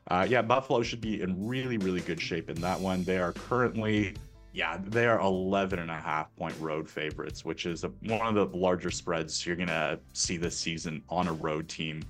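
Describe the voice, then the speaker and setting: Deep-voiced male sports betting analyst. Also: moderate pace, a bit bright. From Canada. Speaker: sports betting oddsmaker, guest. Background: faint music.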